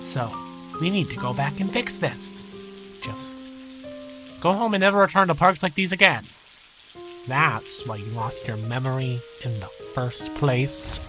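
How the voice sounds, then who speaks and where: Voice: medium pitch, unhurried, music in the background, natural voice. An actor in an audio drama playing a flustered, evasive wise monkey creature who insists and denies. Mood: anxious. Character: one of three wise monkeys, evasive, indignant creature in denial.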